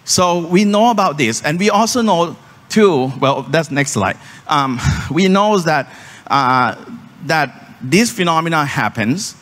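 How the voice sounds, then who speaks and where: A man from Thailand, a Thai native speaker, giving a startup conference talk on stage into a handheld mic, halting and earnest, with many ums and restarts. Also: fairly high pitch, lively intonation, a bit bright. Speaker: startup founder presenting on stage. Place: conference venue hall. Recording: hall reverb from a live venue.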